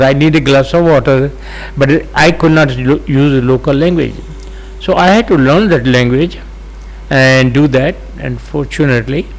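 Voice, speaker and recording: Halting Indian man in his eighties. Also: medium pitch, low-quality recording. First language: Sindhi. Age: 80s. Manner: halting, many fillers, gently chuckling asides. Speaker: interview guest. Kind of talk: unhurried life-story telling.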